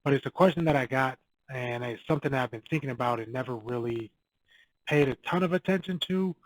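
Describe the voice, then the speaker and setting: Guy doing a chill advice vlog, mid pitched voice, talks straight and repeats himself to drive it home. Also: fairly quick, a little hiss or hum, rough recording. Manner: direct, coaching, repeats key points. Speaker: real estate agent giving cold calling advice. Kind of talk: casual advice video to camera.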